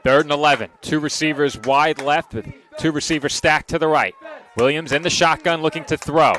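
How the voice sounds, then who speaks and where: Play-by-play man on a headset: bright, cutting voice, brisk and exact, dry quips. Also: on the high side. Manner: brisk, precise, lightly wry. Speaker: play-by-play announcer. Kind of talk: live football play-by-play.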